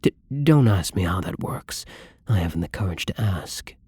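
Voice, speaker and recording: Man as a soft, husky, melancholy vampire. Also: low voice, animated, dry recording, exaggerated voice. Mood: lonely, weary. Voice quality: soft, breathy, husky, often near whisper. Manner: slow, hesitant, brooding, tender. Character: melancholy young male vampire, gentle, lonely, tormented, once human. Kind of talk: intimate ASMR roleplay.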